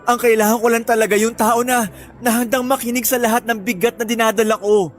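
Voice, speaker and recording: A man's voice: very high voice, steady tone, a little fast, faint music behind. A man with a Filipino accent playing a guilty, weary young boyfriend, earnestly explaining himself in a radio drama. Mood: apologetic.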